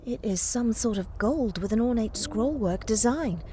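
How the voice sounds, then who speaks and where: A woman's voice: fairly high pitch, animated, normal speed, warm tone, natural voice. A woman playing a courteous, old-fashioned young lady in an audio drama, speaking in a formal, genteel and earnest way. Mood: prim, formal. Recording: noisy ambience behind the voice.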